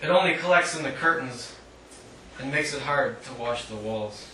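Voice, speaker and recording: A man giving a live poetry reading in a measured, declamatory way with dry humour, adding short spoken asides. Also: medium pitch, lively intonation, pushed and bright. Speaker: poet reading own work. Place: indoor literary cafe reading. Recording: echoey live room, distant mic, faint noise.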